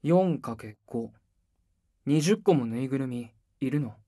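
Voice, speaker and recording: A male actor voicing a cool, low-key young male idol who speaks in a flat, terse, unimpressed way, recorded on a binaural mic. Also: measured pace, no room sound, clean studio sound. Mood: deadpan. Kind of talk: anime voice drama.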